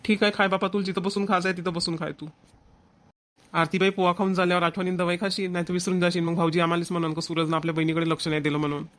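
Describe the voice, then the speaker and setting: A man's voice: high-pitched, level tone, very fast, a bit bright. A man voicing a dutiful, fussing young married son in a family cartoon, with a Vidarbha Marathi accent. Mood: mildly nagging. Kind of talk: amateur family cartoon voice acting.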